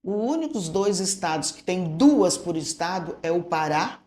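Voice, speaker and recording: An older woman, a retired school director, telling stories from her early years in a relaxed way and often tagging her sentences with "né?". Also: lowish voice, normal speed. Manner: storytelling, tags statements with "né?". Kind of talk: reminiscing interview answers. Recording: echoey room.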